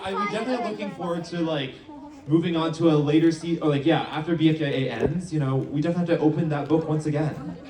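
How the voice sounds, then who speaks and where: A man's voice: fairly high pitch, fast. A man answering fan questions on a live panel, playful and chatty. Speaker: show creator on a panel. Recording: roomy, distant, loud crowd.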